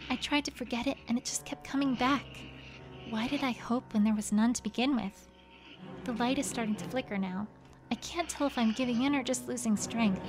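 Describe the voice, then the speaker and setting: A woman's voice: on the high side, lightly acted. Woman acting a despairing young pony over music. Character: despairing, frightened young female pony writing her last journal. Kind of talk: dramatic horror story reading.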